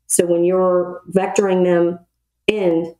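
A woman's voice: fairly low pitch, little pitch movement, slow, warm tone. A middle-aged woman explaining procedures in an interview, matter-of-fact, as a retired military officer would. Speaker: podcast guest, retired Navy air traffic control officer. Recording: roomy, echoey voice recording.

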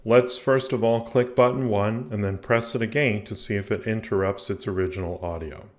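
A man with a fairly low voice narrates a screencast tutorial. He explains each step methodically, as a host guiding learners through software. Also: steady tone, moderate pace. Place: indoor voice-over recording for a screen capture.

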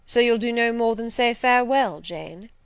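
Storybook gentleman, played by a woman: commanding, theatrical, gruff and teasing, very dry close sound. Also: a little high, moderate pace, no echo, voice pushed up, natural voice. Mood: dissatisfied, wry. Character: gruff, wry, domineering gentleman teasing his employee. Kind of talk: dramatised classic novel reading.

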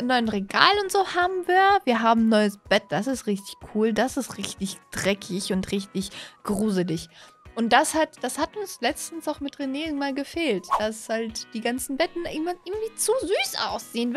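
Casting: woman, 20s, high voice, gushing gaming host, chatty and repetitive, close studio mic, soft music underneath. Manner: gushing, repeats words and phrases. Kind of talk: excited casual reaction commentary to camera. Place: small indoor streaming room. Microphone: close studio microphone on a boom arm.

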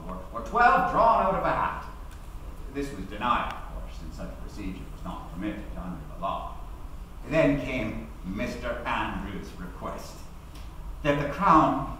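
A man's voice: mid-range voice, fairly bright tone, natural voice. Man acting a defiant activist orator, echoing hall. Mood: wry, indignant. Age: middle-aged. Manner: oratorical, emphatic, deliberate phrasing. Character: defiant labour activist recounting his sedition trial. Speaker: actor giving a dramatic reading. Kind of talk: theatrical dramatic reading of a memoir speech. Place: large hall, at a lectern on stage. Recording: echoey hall, distant mic, faint noise.

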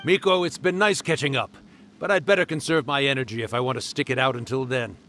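A man's voice: mid-range voice, fast talker, bright, forceful tone, soft background music, close to an everyday voice. A man voicing an ancient spirit storyteller who is wry, resigned and fond of an old friend, in a video game. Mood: sardonic.

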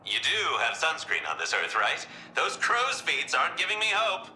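Game villain role: mocking young psychotic clown, high sharp voice that swings wildly. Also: male voice, huge pitch swings, normal speed, a little hiss or hum, heavily acted, poor audio. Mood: manic glee. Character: flamboyant, gleefully sinister younger male clown villain.

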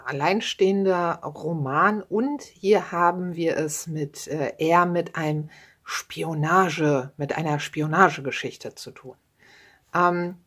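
A woman in her thirties casually chatting about her books at home, with many fillers and little tag questions. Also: on the low side. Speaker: book vlogger. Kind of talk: casual book chat. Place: home room with bookshelves, indoors.

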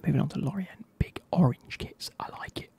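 A man, reviewing football kits in a soft, casual whisper with wry asides. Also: fairly high pitch, a little fast, vocal fry, husky.

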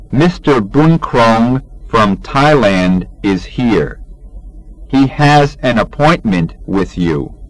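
A man reading an English textbook lesson aloud in a measured, deliberate teaching manner, enunciating each drill sentence. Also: medium pitch, rough recording.

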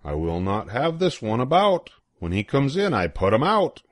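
A man's voice: medium pitch, very animated, normal speed, no echo, clean studio sound. An older man reading a children's rhyming picture book aloud in a playful, sing-song way with swooping emphasis. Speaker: storybook reader.

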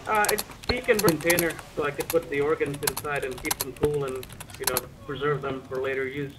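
A man's voice: fairly high pitch, fairly even intonation, fairly quick. A man playing a medic in casual, improvised game roleplay, muttering commentary as he examines and swearing now and then. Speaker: roleplay player acting as a medic. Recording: noisy game voice chat.

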